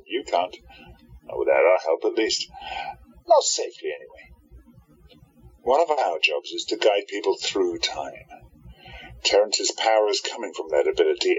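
A man acting a husky, deep-voiced commanding hero. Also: a bit bright, full character voice. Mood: explaining. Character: confident time-traveling hero, leading a rescue plot. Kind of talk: amateur audio drama acting. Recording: dull, muffled lo-fi recording.

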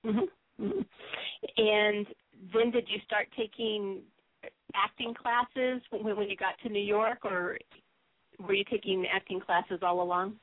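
A woman's voice: medium pitch, fairly even intonation, slow, dry recording, phone-quality sound. A woman hosting a conversational radio interview show.

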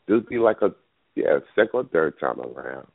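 Deep-voiced male talk radio host, loose and rambling, a bit under the weather, heard over a phone line. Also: very animated, fairly quick, breathy edge, dry recording. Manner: rambling, thinks aloud with many fillers. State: battling a cold. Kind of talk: casual call-in radio talk. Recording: tinny phone line, dry.

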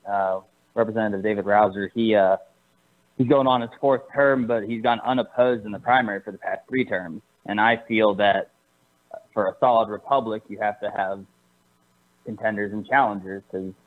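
A man who is a political candidate, calling in as a radio guest and explaining his reasons in a plain, measured way. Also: lowish voice. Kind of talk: phone-in interview. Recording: tinny phone line.

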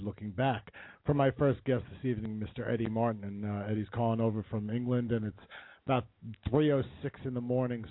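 A man's voice: fairly low pitch, dry recording. Male radio host, dry close sound, kinda lo-fi, easygoing patter. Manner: relaxed, friendly host patter with fillers. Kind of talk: radio interview. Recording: narrow lo-fi broadcast sound.

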